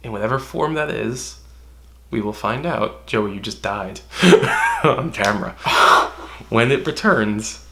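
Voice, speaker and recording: A man's voice: medium pitch, wildly swooping pitch, slow pace, bright, forceful tone. A man co-hosting a casual fan discussion, speaking emphatically and with some swearing. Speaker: co-host.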